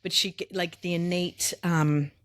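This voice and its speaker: A woman's voice: on the low side, fairly even intonation, a little slow. A middle-aged woman co-hosting a podcast in casual chat, a little sniffly, explaining things in long chatty lists with asides. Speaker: podcast co-host.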